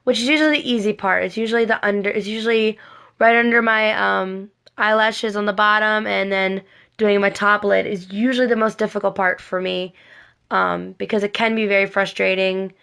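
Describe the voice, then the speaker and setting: A woman with a slightly raspy, scratchy voice, hoarse from a cold, giving a casual makeup tutorial in a rambling, repetitive way and often correcting herself. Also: mid-range voice, fairly even intonation, moderate pace, fairly bright tone. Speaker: cosplay makeup vlogger.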